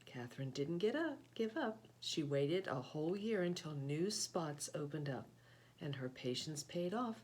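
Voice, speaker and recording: A woman reading a children's picture book aloud, with deliberate, expressive phrasing and short explanatory asides. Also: sits low, slight background noise. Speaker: read-aloud host, storyteller.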